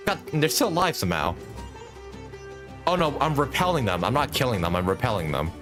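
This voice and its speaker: A man's voice: mid-range voice, very animated, fairly quick, music in the background. A man doing casual live commentary as a game streamer, thinking aloud as he plays and swearing casually.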